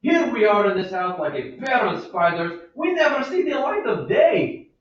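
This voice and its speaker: A man's voice: high-pitched, big pitch swings, moderate pace. A man playing a fussy old servant. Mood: coaxing. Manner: coaxing, scolding, keeps saying ma'am. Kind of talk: amateur play reading. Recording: echoey room, far from mic, dull.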